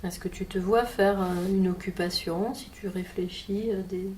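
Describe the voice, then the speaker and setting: A woman hypnotherapist guiding a session with gentle, patient questions and often repeating her client's answers back. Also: medium pitch, fairly even intonation, moderate pace, a little hiss or hum.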